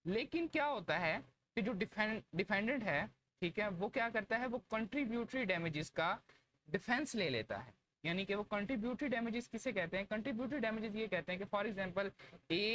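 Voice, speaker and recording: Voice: mid-range voice, a bit bright, a little hiss or hum. A Pakistani law lecturer explaining step by step, mixing in English legal terms. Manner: switches between Urdu and English legal terms.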